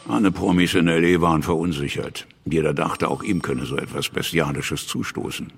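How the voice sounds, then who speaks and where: Very deep, gravelly police detective. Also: male voice, steady tone, moderate pace, full character voice. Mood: grim, matter-of-fact. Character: hard-boiled American male police detective recounting murder case. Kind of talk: radio drama testimony.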